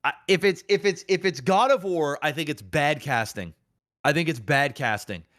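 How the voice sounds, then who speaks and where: A man's voice: on the high side, expressive, normal speed, a bit bright, no room sound. Emphatic, slangy male podcast host, dry sound. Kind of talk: casual opinionated podcast chat.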